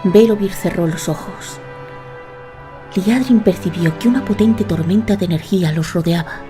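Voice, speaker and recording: A woman narrating a scripted audio drama in a measured, dramatic storytelling manner. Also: fairly low pitch, dark tone.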